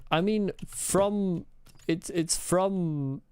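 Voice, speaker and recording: A man's voice: high voice, drawn-out words, darkish tone, clear, resonant tone. A man from Scotland with a mild Scottish accent, chatting loosely on a game stream and answering chat messages with rambling asides and chuckles. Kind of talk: casual live-stream chat while gaming.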